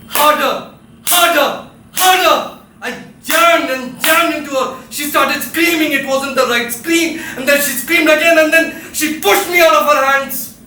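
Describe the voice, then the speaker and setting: Stage role: mild, buttoned-up husband, high voice, halting delivery with outbursts, recorded far off in a reverberant theatre. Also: male voice, full character voice. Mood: agitated confession. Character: repressed, mild-mannered husband confessing and bewildered. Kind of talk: live stage play acting. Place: theatre stage, live performance. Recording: echoey theatre, distant mic, loud background noise.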